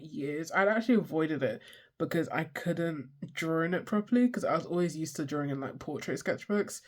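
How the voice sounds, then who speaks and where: Congested young vlogger, stuffy nose. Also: mid-range voice. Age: 20s. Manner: swears freely, self-deprecating, loses track mid-sentence. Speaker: art vlogger. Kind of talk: casual rambling chat.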